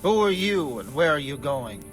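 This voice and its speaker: Mocking, suspicious gate guard. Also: male voice, on the high side, sing-song, normal speed, fairly bright tone, soft background music. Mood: sarcastic, suspicious. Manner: mocking, challenging. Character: sarcastic, suspicious male castle gate guard. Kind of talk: video game cutscene dialogue.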